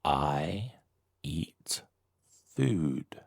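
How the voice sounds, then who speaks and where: Super slow deep-voiced guy teaching basic phrases, repeats everything twice, dry sound. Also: very animated, vocal fry, breathy edge, no echo. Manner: slow, deliberate, says each short sentence twice. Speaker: English teacher. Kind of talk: slow scripted beginner lesson.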